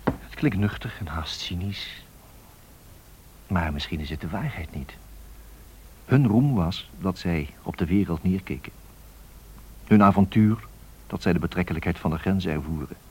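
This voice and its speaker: An actor playing a reflective, sceptical narrator in a radio drama, with wry, rhetorical, musing delivery. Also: male voice, deep voice, lively intonation, moderate pace, pauses often, darkish tone, husky. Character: reflective, sceptical male narrator looking back on aviation pioneers.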